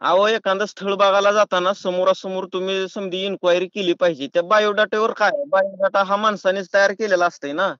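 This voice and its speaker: A man's voice: high voice, level tone, fairly quick, few pauses, fairly bright tone, dry recording. Rural Maharashtrian man on a muffled phone call. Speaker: prank caller. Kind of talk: prank phone call conversation. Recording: dull, lo-fi phone call recording.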